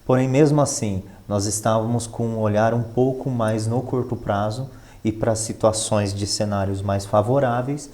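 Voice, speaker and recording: Mellow, low-voiced man in interview. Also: fairly even intonation, normal speed. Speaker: finance professional and professor, interview guest. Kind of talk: conversational interview answers.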